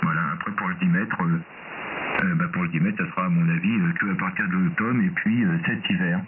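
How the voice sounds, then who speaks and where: A tinny, hissing radio signal carries a man's deep voice from far off. He has an accent from France and talks as a ham operator in a chatty, hesitant way, feeling for his words as he goes. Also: steady tone, brisk. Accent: French from France. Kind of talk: casual ham radio contact. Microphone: heard over radio receiver, distant. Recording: noisy narrow-band shortwave radio with hiss.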